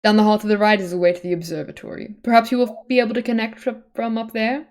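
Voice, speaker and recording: A woman with a fairly high voice reads aloud as a streamer. Her delivery is informal, slipping between narration and chatty comments, and she corrects herself as she goes. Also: level tone, fast, darkish tone. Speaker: streamer reading a webcomic aloud. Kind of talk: casual livestream read-aloud with asides.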